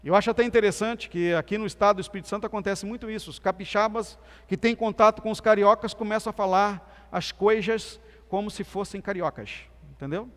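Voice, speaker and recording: A man from Minas Gerais with a softened Minas Gerais accent, preaching a sermon as pastor with emphatic swings between loud and soft delivery. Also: sits high, steady tone, a little fast, hardly any pauses. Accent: Brazilian Portuguese, softened Minas Gerais. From Minas Gerais, Brazil. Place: church sanctuary. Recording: church hall reverb.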